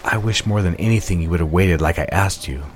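A man playing a devoted but guarded new husband in a period audio drama, speaking with formal, courteous, measured endearments. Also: low voice, slight background noise, close to an everyday voice.

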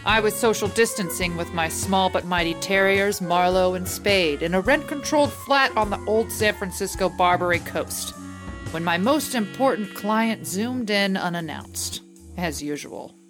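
An actress playing a wry, hard-boiled private eye in a radio play, with a dry, sardonic manner. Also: female voice, mid-range voice, measured pace, over music, natural voice. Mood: wry, world-weary. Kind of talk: radio play noir narration and dialogue.

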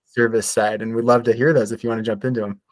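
A man's voice: mid-range voice, flat delivery, fast, no room sound. A man hosting an interview, asking friendly questions in an upbeat, encouraging way. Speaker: podcast host, interviewer.

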